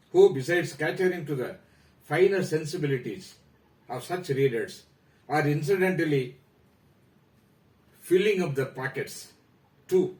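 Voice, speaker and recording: A man with an Indian accent, presenting a prepared talk about a novel in a formal, measured way that trails off at the ends of phrases. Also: on the high side, a little mellow, echoey room, far from the mic, poor audio. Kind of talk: reading a prepared literary talk.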